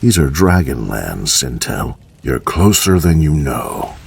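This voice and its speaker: Man playing a very deep, gravelly, cryptic elder. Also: very animated, slow pace, noisy background, exaggerated voice. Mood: grave, knowing. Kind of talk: fairy-tale character acting.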